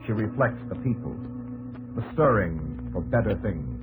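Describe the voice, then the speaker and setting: A man's voice: lowish voice, lively intonation, unhurried, poor audio. A man narrating a 1950s radio documentary in a grave, theatrical, declamatory style. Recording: old radio broadcast under loud music.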